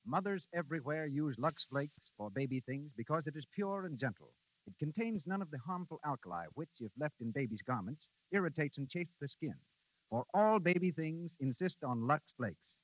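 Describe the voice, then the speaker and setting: A man's voice: a little high, expressive, normal speed, no room sound. Dry-sounding male vintage radio announcer. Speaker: commercial announcer. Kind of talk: 1930s radio advertising read. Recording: dull vintage 1930s radio recording.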